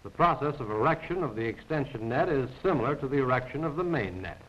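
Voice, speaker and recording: A man's voice: mid-range voice, expressive, fast, fairly bright tone, slight background noise. A man narrating a scripted 1940s military training film in a formal, precise, instructional manner. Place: voice-over recorded apart from the pictures. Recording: dull, band-limited 1940s film soundtrack.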